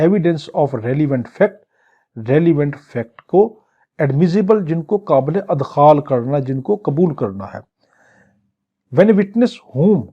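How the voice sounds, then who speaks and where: A man teaching law. He reads English legal clauses slowly and then explains them quickly in conversational speech. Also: on the high side, mellow, dull audio. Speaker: law lecturer. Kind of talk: lecture reading law text in English, explaining in Urdu.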